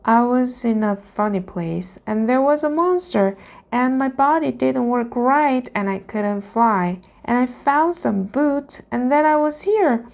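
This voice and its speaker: A woman's voice: on the high side, lively intonation, measured pace, creaky, darkish tone, voice pushed up. A woman voicing a small, timid young male dragon in a storybook, anxious and pleading. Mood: shaken.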